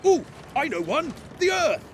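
An actor playing a big, jolly father pig with a husky voice and jovial manner in a cartoon game. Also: very high voice, very animated, measured pace, a little hiss or hum, heavily acted. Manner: jovial, chuckling. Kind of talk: children's cartoon game acting.

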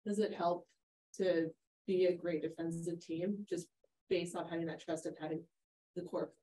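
A woman's voice: lowish voice, steady tone, normal speed, mellow, slight background noise, rough recording. A woman working as a sports reporter, asking questions at a press conference over a video call.

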